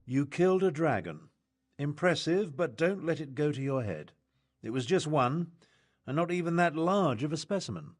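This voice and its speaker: A dry, condescending fantasy adventurer talks in a slightly high-set voice. The delivery is understated and sardonic, in video game style. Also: male voice, expressive, moderate pace.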